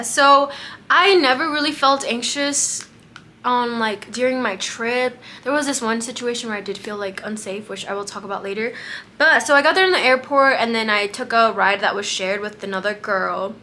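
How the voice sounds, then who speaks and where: A woman's voice: fairly high pitch, sharp tone, slight background noise. A young American woman whose first language was Spanish, chatting casually about her travels with lots of fillers and acted-out quotes. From United States. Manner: chatty, many "like" fillers, acts out quoted dialogue. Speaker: travel vlogger. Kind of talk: casual storytelling vlog.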